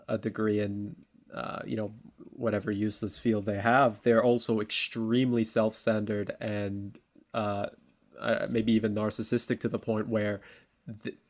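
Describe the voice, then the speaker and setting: A man with a fairly low voice chats as a co-host on a talk show. He speaks in a casual, colloquial way, discussing and arguing points informally. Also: darkish tone.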